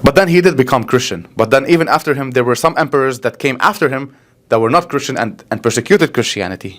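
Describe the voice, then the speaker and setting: A man's voice: medium pitch, lively intonation, racing, a bit bright. A man with a Lebanese accent, a priest explaining earnestly and emphatically in a conversational interview. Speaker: Orthodox priest.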